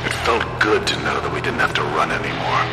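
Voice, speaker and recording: An actor playing a battle-hardened rebel squadron commander, narrating war missions in a grim, measured, resolute way for a video game. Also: male voice, a bass voice. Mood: somber, defiant. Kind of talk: dramatic scripted game cutscene narration. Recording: echoey hall reverb under loud music.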